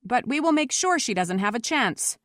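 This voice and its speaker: A woman's voice: a little high, lively intonation, normal speed, a bit bright, dry recording, clean studio sound, pitched above the performer's own voice. A woman voicing an earnest, protective townsman in an audiobook, urgent and firm. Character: earnest protective townsman pleading against an angry mob. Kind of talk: audiobook character voice.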